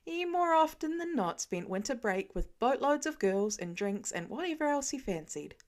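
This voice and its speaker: A woman narrating a fan fiction audiobook in an expressive storytelling manner. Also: normal speed. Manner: expressive storytelling, varied pitch. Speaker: audiobook reader.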